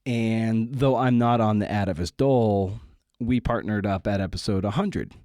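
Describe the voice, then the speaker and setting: A man's voice: on the low side, moderate pace, mellow, no room sound, studio quality. A man hosting a podcast, talking casually in short, informal, offbeat phrases. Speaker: podcast host. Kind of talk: casual podcast intro and interview.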